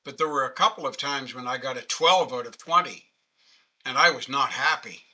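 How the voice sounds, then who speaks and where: Bright-voiced older Canadian man teaching. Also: mid-range voice, moderate pace, poor audio. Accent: Canadian English. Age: 60s. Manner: patient, conversational, self-deprecating. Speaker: webinar presenter. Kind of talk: casual online teaching. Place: home office. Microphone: webcam video call.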